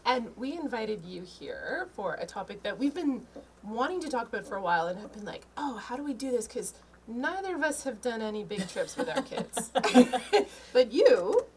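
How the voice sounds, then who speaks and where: A woman's voice: on the high side, very animated, moderate pace. A woman co-hosting a podcast in a studio, asking her guest curious questions and laughing lightly as she talks. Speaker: podcast co-host. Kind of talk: casual interview chat.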